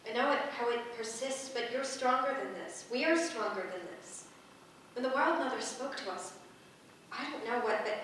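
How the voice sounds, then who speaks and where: A woman playing a steady, encouraging young heroine with water powers, speaking earnestly and deliberately in a staged reading. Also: on the high side, distant mic. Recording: echoey room from afar, faint noise.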